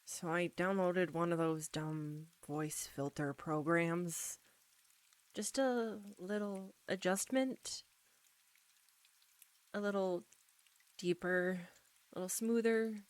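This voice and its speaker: A woman playing an embarrassed, anxious young roommate in an intimate audio roleplay, speaking softly and haltingly straight to the listener. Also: on the low side, no echo. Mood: sheepish confessing. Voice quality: soft. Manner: halting, flustered, nervous stumbling.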